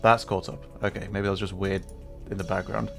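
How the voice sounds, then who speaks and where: A man's voice: low-pitched, level tone, brisk, gravelly, fairly bright tone. Casual male streamer over background music. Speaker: livestream host, gamer. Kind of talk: casual livestream chat.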